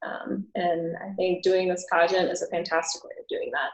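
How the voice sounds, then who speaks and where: A woman taking part in a pageant, answering interview questions in a soft, hesitant way with many fillers. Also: on the low side, little pitch movement, normal speed, gravelly, roomy sound, poor audio. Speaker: pageant contestant.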